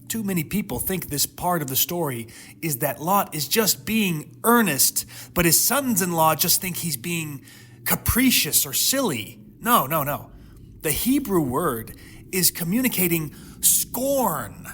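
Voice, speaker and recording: Guy doing dramatic bible teaching, really animated and emphatic, kinda high voice, noisy background. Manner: emphatic, rhetorical questions, dramatic pauses. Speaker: host of a Bible teaching series.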